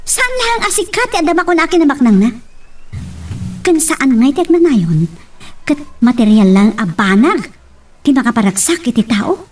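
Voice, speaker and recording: A woman's voice: high-pitched, very animated, moderate pace, long pauses. An actress playing a defiant young woman in love in an Ilocano radio drama, emotional and insistent. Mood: firm. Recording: distant from the mic.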